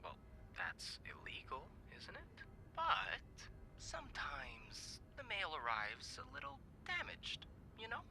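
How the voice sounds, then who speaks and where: An actor playing a soft-spoken, bookish young hotel worker in a video game, shy and warm. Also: male voice, sits high, huge pitch swings, slow, gravelly, slight background noise, exaggerated voice. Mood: conspiratorial. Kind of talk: game voice acting.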